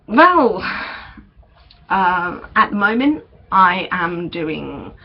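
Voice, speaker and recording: Young man, vlogger, unhurried and hesitant, gravelly edge, dull lo-fi recording. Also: high-pitched, very animated. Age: young adult. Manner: chatty, hesitant, many ums and small laughs. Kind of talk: casual vlog update. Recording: dull lo-fi recording with faint noise.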